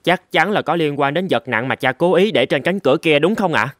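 A man's voice: on the high side, animated, a little fast, a bit bright, dry recording, clean studio sound. A man voicing an earnest, proud young swordsman in a fairy-tale cartoon, with emphatic, theatrical delivery. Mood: respectful.

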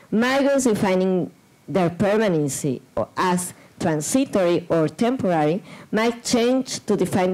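A woman reading a prepared academic paper at a conference panel, slow and measured with hesitant fillers and restarts, into a desk microphone in a large lecture room. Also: medium pitch, a little mellow, low-quality recording.

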